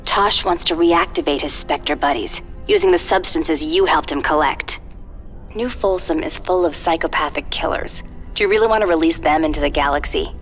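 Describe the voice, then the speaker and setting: A woman's voice: sits low, moderate pace, faint music behind, close to an everyday voice. A woman voicing a cool, determined psychic covert agent in a video game, controlled and military in tone. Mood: urgent warning. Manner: controlled, crisp, military briefing tone. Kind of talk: video game cutscene acting.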